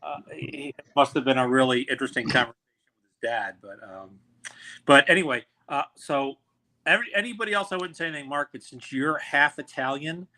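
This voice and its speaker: Male, mid pitch, chatty storyteller who laughs at his own stories. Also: fairly quick, sharp tone. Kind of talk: casual chat.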